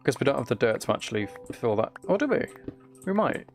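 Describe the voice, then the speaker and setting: A man livestreaming a game with a flat, mellow voice, rambling casually and softly to his viewers in a low-key way. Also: a little fast, music in the background. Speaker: live streamer. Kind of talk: casual gaming livestream chat, soft ASMR-like. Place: desk at home, live stream.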